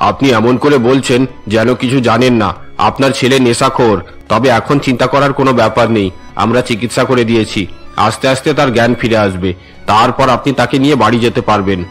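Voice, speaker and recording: A man voicing a blunt, matter-of-fact hospital doctor in a cartoon, measured and reassuring. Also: mid-range voice, a bit bright, faint music behind, close to an everyday voice. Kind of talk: melodramatic Bengali cartoon dubbing.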